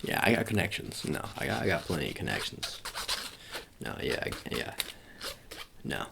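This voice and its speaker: Guy doing asmr agent roleplay, real low and crackly, keeps repeating himself, noisy background with clicks. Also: big pitch swings, vocal fry. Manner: halting, repetitive, murmured reassurances. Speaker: ASMR performer. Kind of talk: ASMR roleplay as a sports agent, improvised personal attention.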